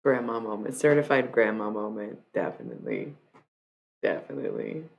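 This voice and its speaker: A woman's voice: rumbling low, wildly swooping pitch, moderate pace, vocal fry, highs cut off. A young woman from Michigan chatting casually on a live stream, joking about herself and breaking into rap lines. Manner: joking, self-mocking, freestyles rap lines.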